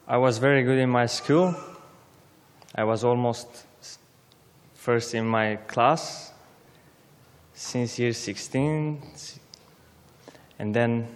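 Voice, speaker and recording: Slow-speaking young man in echoey church. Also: mid-range voice, clear, resonant tone. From Syria. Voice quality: halting non-native English, simple phrasing. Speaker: guest speaker. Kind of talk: personal testimony speech. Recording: long church reverb.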